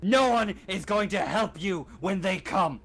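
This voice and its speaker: A man's voice: high voice, animated, unhurried, a bit bright, voice pushed up, heavily acted. A man voicing a crazed, hostile spaceship survivor who rants menacingly of doom in a sci-fi tabletop game. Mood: ominous, fanatical.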